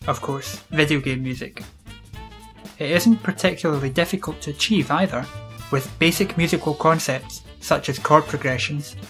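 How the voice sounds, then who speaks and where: A British man hosting an educational video, reading scripted explanations in a measured, instructional way. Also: fairly high pitch, fairly bright tone. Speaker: video series host. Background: music.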